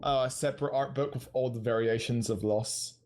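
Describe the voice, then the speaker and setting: A man's voice: mid-range voice, steady tone, normal speed, darkish tone. A man co-hosting a podcast, talking casually in a group chat. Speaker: podcast co-host. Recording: faint noise, small clicks.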